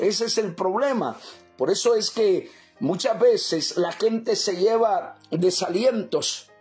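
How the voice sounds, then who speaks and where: A man's voice: high-pitched, darkish tone. A man from El Salvador preaching a fervent sermon, often calling out to his listeners as brothers.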